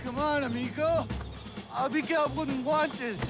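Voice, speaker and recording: Slippery outlaw, wheedling. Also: male voice, extremely high pitch, fairly even intonation, measured pace, over music. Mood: cornered, bitter. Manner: wheedling, taunting. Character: slippery Mexican outlaw, cornered, taunting and pleading. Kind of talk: cinematic western game acting.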